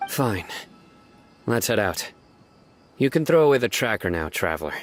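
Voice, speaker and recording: An actor playing a stoic, intimidating investigator in a video game, terse and controlled. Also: male voice, fairly low pitch, very animated, normal speed, soft background music. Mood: curt.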